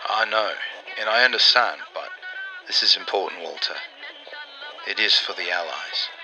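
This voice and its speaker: An actor playing an earnest, persuasive Allied intelligence operative in a 1940s-style radio drama, explaining things deliberately. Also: male voice, low voice, over music, close to an everyday voice, rough recording. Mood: apologetic, earnest.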